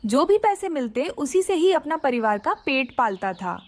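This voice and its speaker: A woman narrates a scripted children's cartoon tale. Her voice is high, with very lively, swinging intonation. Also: normal speed.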